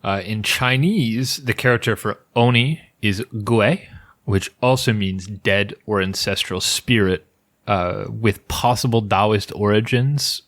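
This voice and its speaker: A man's voice: lowish voice, a little slow, fairly bright tone. A man co-hosting a podcast, presenting folklore research in a loose, jokey way with restarts. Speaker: podcast co-host. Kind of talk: casual podcast chat, presenting researched lore.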